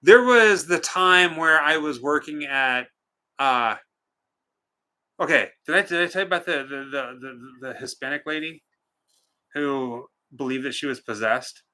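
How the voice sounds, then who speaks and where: Bright, forceful joking man on a livestream. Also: fairly high pitch, a little slow. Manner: joking, playfully teasing. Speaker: live stream co-host. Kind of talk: casual chat, reading out viewers' comments.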